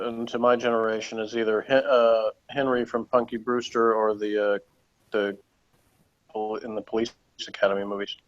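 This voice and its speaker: A man in casual chat as a co-reviewer, speaking haltingly over a dull, lo-fi connection. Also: mid-range voice, slight background noise.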